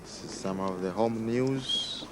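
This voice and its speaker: A man slowly pointing things out and saying his group has no politics. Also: on the low side, background noise.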